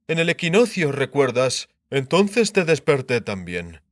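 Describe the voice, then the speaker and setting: A man's voice: medium pitch, very animated, dry recording, studio quality. A man voicing a shrewd, grumbling king and sardonic husband in an audiobook, musing aloud. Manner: musing aloud, muttering, drawn-out syllables. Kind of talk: dramatised audiobook character acting.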